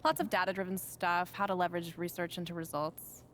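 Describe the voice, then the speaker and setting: An actress voicing an efficient young woman assistant in a video game, briefing someone on his schedule in a brisk, businesslike way. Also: fairly low pitch, flat delivery, fairly bright tone, slight background noise, lightly acted. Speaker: agency assistant. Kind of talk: video game cutscene dialogue.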